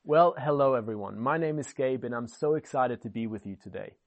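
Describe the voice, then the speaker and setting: A man's voice: medium pitch, a little fast. Earnest Swiss-accented young man preaching. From Switzerland. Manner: earnest, enthusiastic. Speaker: guest speaker. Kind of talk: online church sermon.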